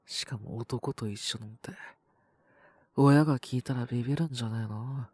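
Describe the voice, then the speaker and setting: A man voicing a cocky, possessive young wolf-boy boyfriend with a cold, in an intimate audio roleplay, speaking bluntly and teasingly in a breathy, husky voice. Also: medium pitch, moderate pace, a little mellow, dry recording, studio quality, lightly acted. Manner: blunt, rough casual speech, teasing, languid. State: sick with a cold and cough. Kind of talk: Japanese situation-voice roleplay aimed at the listener.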